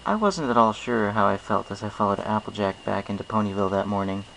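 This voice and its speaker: A man narrates a story in the first person, reading it aloud as an amateur reader. His voice is on the low side with noticeable creak, and a faint noise sits behind it. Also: fast talker, a bit bright, rough recording.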